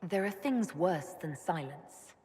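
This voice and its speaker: Dry, low-pitched fantasy leader. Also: female voice, lively intonation, slow, dark tone, slight background noise. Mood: level, dry. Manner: dry, direct, matter-of-fact. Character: level-headed female fantasy leader, dry and guarded. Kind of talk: video game character dialogue. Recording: long hall reverb.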